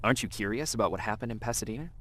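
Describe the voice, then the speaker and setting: A man's voice: fairly low pitch, very fast, a bit bright. An actor playing a grimly determined male resistance soldier in a sci-fi game, terse and serious in delivery. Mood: subdued, reserved. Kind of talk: dramatic game cutscene acting.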